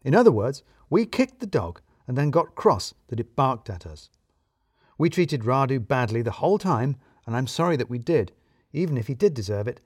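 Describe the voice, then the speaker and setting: Male narrator for comic fiction: mid-pitched, very expressive intonation, wry and self-deprecating, close dry sound. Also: no echo. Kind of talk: scripted first-person comic story narration.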